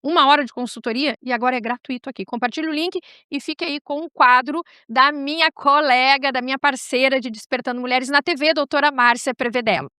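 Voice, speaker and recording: A woman with a high voice and a southern Brazilian gaúcho accent hosts a talk show. She chats informally, wandering off and turning back to address the audience directly. The sound is dry and close. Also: a little fast, few pauses, sharp tone, clear, resonant tone, dry recording. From Rio Grande do Sul, Brazil. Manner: chatty, digressive, addresses viewers directly. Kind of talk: casual talk show chat.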